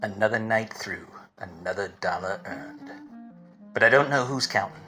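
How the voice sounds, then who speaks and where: Wise-cracking noir detective male actor, slightly deep and crisp-edged, pompous 1940s radio narration over music. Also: moderate pace, sharp tone. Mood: brooding. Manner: wry, self-important noir narration. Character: wise-cracking, obtuse hard-boiled male private detective.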